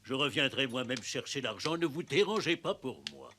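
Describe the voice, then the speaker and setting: A man's voice: medium pitch, very animated, normal speed, pushed and bright, a little hiss or hum. A man voicing a greedy, self-pitying, blustering villain in a cartoon, with theatrical delivery. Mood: pompous. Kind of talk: cartoon voice acting.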